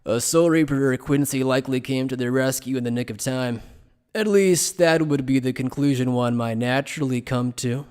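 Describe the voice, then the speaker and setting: A man playing an evasive, playful scholar who explains things in a polite, measured, teasing way in an anime fan dub. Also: medium pitch, darkish tone, smooth, close to an everyday voice. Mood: measured, probing. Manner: polite, measured, teasing lecturer with coy understatement.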